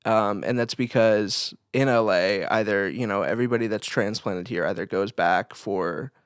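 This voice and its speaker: A man's voice: lowish voice, moderate pace, smooth, no echo. A man co-hosting a podcast, chatting casually and playfully without a script, close on the microphone. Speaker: podcast co-host. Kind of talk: casual unscripted podcast banter.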